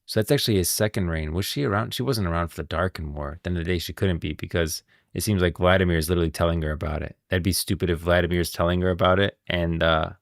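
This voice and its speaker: A man's voice: low voice, steady tone, quick pace, creaky, few pauses, no room sound, studio quality. A man streaming casual game lore commentary, rambling and thinking aloud as he goes, often repeating himself. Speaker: streamer, host.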